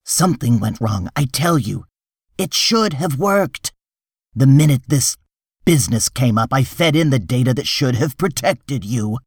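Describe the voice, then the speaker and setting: A man's voice: mid-range voice, lively intonation, measured pace, breathy edge, no echo, clean studio sound, pitched above the performer's own voice. A man voicing an irritable, defensive official in a story reading, insistent and exasperated. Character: tense, defensive, irritable male official making excuses.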